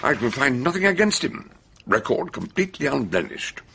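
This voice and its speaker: A brisk colonel in a radio drama. His voice is gravelly and forceful with lively pitch, and he delivers facts in a clipped, authoritative report. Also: slight background noise.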